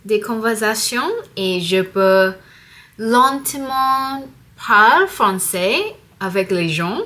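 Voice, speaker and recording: A young woman vlogger from Singapore speaks to her viewers in a language she is still learning. She takes her time, pausing to find words and building sentences carefully. Her pitch sits in an ordinary middle range. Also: sharp tone. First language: not French. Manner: slow, careful learner's French with pauses. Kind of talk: casual vlog to camera.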